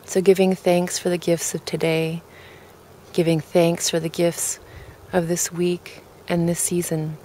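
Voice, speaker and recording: A woman with a low voice leads a guided relaxation. She speaks very slowly and calmly, setting out each soft invitation with care. Faint ambient noise sits behind her. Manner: calm, gentle, deliberate phrasing with pauses.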